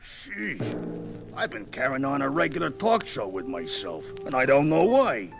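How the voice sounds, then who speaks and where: A gruff, brawling superhero with a gravelly voice, narrating like a sardonic tough guy full of slangy wisecracks. It is 1970s record drama acting, with dramatic music clearly behind him. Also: mid-range voice, very animated, measured pace. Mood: grumbling, then musing, determined.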